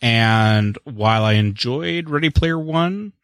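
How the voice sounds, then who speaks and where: A man's voice: medium pitch, animated, slow, a bit bright, smooth, no room sound, clean studio sound. A man co-hosting a podcast, chatting casually in a rambling, wry way about movies and books. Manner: rambling, wry, self-deprecating. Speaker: podcast co-host. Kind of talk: casual chat.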